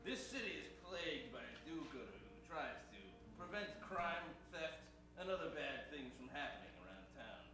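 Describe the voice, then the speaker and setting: A man plays a crooked, scheming businessman in an old-time radio drama. His voice is high and swoops widely as he blusters pompously. It sounds echoey and far from the mic. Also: very animated, moderate pace, few pauses. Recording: echoey live stage, distant mic, music behind.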